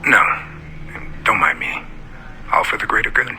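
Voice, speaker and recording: A man's voice: on the low side, expressive, normal speed, very noisy, lightly acted. A man playing a resigned, reserved father in naturalistic audio drama, laconic and dry.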